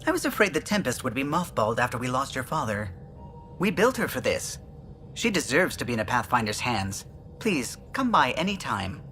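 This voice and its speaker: A man's voice: mid-range voice, big pitch swings, normal speed, pushed and bright. An actor playing a male alien starship pilot in a video game, chatty, precise and fond of reminiscing. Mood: wistful, polite. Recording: music behind the voice.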